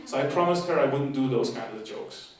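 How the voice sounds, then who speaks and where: A man's voice: medium pitch, brisk. Young man doing stand-up in an echoey hall. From half Portuguese, half Argentinian. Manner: chatty, playful, self-mocking, talks to the audience. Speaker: stand-up comedian and course leader. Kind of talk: stand-up comedy set. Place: live comedy show in a hall. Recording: echoey hall from far off, noisy. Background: audience laughter.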